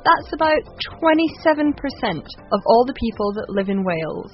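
A woman with a mild Scottish accent presents an English lesson in a clear, measured way. Her voice sits a little high. The broadcast audio is muffled, with soft music underneath. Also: very animated. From Scotland. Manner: clear, measured, explanatory delivery. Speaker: English teacher and co-host. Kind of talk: scripted educational radio presenting.

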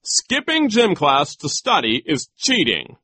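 A woman's voice: low-pitched, huge pitch swings, measured pace, a bit bright, husky, no echo, pitched above the performer's own voice, heavily acted. A woman voicing a pompous, childish male vice principal in an audiobook, mocking and bossy, with gleeful cruelty. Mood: gloating, bossy.

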